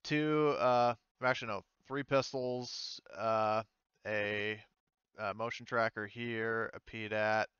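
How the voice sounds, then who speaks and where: Male game master, low and clean-voiced, informal tabletop narration on a close, slightly dull mic. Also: steady tone, takes it slowly, a bit bright, smooth, dry recording. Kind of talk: casual game narration with read-out descriptions.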